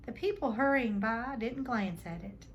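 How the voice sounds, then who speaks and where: A woman's voice: a little high, moderate pace, muffled recording. Woman storyteller on a slightly noisy recording. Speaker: read-aloud storyteller. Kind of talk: expressive storybook narration.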